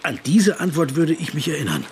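A man's voice: fairly high pitch, sing-song, a little fast, a little mellow, a little hiss or hum. Meek, flustered, apologetic man. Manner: apologetic, hesitant, stammers and repeats words. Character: meek, flustered male writer, eager to placate. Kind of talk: German radio play dialogue.

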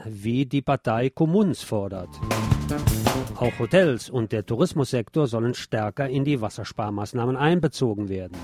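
A man presenting a scripted radio news report, adding the odd personal aside. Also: medium pitch.

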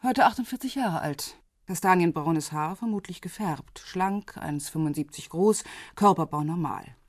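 A cool, self-assured woman detective in her forties in a radio crime play. Her voice is lowish and husky, very dry in the studio, and her delivery is matter-of-fact and businesslike. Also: animated, normal speed, dry recording. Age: 40s. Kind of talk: radio crime drama dialogue.

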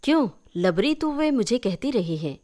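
A woman's voice: fairly high pitch, big pitch swings, normal speed, darkish tone, voice pushed up. Woman as a flustered, curious young woman. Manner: questioning, exclaiming. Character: curious, flustered young city-bound woman, indignant and scheming. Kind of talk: acted dialogue in a story reading.

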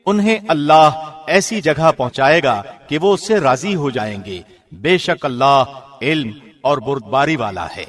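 Male radio-style reader for scripture translation: fairly high voice, formal, measured, carefully phrased. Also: expressive.

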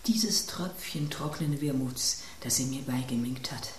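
A woman's voice: a bass voice, measured pace, reverberant, a little hiss or hum. A woman voicing a sensual, self-admiring salmon mousse in a radio play, snobbish and breathily swooning. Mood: sensual, rapturous. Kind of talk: German radio play acting.

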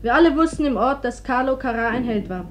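High-voiced, scornful young militia girl. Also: expressive, quick pace, background noise. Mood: bitter, cutting. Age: young adult. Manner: accusing, biting. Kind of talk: German radio play acting.

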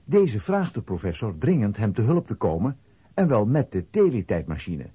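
A man with a husky voice narrating a scripted children's audio play. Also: fairly low pitch, warm tone, no room sound.

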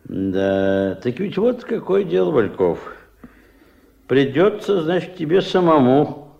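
An actor playing a gruff, shrewd, middle-aged fish farm boss in a radio play, giving curt orders in a gravelly voice. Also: male voice, fairly high pitch, sing-song, slow pace, exaggerated voice. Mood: overbearing. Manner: curt, bossy, clipped commands. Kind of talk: Soviet radio play acting. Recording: dull, lo-fi old radio recording.

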